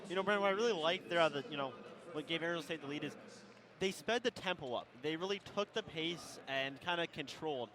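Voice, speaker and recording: A man's voice: a little high, fast, crowd noise. A man giving conversational analysis of a game as a color commentator.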